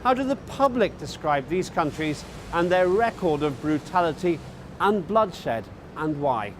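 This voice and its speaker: A man's voice: fairly high pitch, lively intonation, measured pace, slight background noise. Man asking pointed street questions. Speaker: street interviewer. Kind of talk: reporter's questions.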